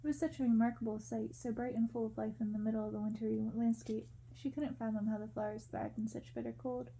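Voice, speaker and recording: A woman reading a story aloud in a gentle, measured ASMR style. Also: on the high side, creaky, a little hiss or hum, poor audio.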